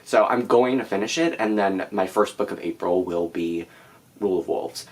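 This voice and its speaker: A man's voice: low-pitched, moderate pace. A teenage boy with a stuffy nose from a cold, chatting casually to camera about his reading plans with many fillers. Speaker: book vlogger.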